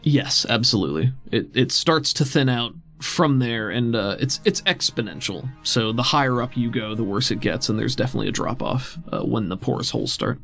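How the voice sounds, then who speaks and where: A man runs a tabletop roleplaying game as its game master. He describes the scene conversationally in a mid-pitched voice, with music playing behind him. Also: expressive, brisk, creaky, hardly any pauses, a bit bright. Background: background music.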